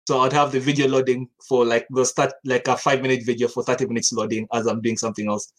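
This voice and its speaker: A man's voice: medium pitch, level tone, quick pace. Man from Uganda, rambling podcast chat. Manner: rambling, many restarts, laughs easily. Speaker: studio co-founder guest.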